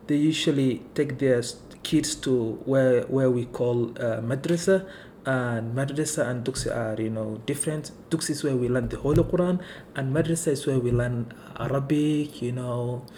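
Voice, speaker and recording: A man's voice: mid-range voice, dark tone, a little hiss or hum. A man from Kenya whose first language is Somali, talking unhurriedly in a casual interview and leaning on "you know" and "right". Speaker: interview guest. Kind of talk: casual conversation.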